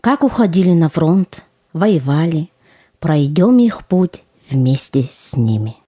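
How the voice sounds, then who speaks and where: A woman's voice: low-pitched, very animated, takes it slowly, warm tone, husky. A woman giving scripted, solemn, declamatory narration for an audio play.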